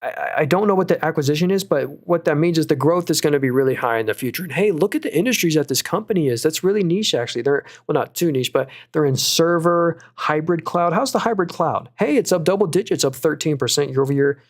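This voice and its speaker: A man's voice: fairly high pitch, expressive. A young man hosting a live stream, reading viewers' comments and talking through stock picks in a casual, upbeat way with lots of fillers. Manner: casual, upbeat, quick asides, frequent fillers and restarts. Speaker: stock market livestream host.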